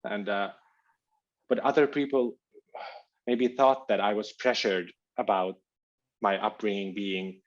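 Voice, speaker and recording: A man from Finland, his Albanian, speaking as an author guest in a thoughtful, halting interview conversation over a video call. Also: lowish voice, fairly even intonation, muffled recording. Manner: halting, reflective, searching for words.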